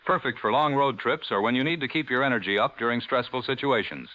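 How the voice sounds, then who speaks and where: A polished 1940s radio newsman, bright forceful voice, formal, dry dull old radio sound. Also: mid-range voice, animated, fast talker, no room sound. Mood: upbeat, selling. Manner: polished, formal announcer delivery. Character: 1940s radio newsman and sponsor pitchman, authoritative man. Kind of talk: 1940s radio news and ad reading.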